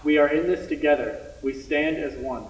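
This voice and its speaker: Lighter-pitched man, echoey and distant. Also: steady tone, moderate pace, very noisy. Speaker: student performer in readers theater. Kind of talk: scripted ensemble recitation. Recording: echoey room, distant microphone.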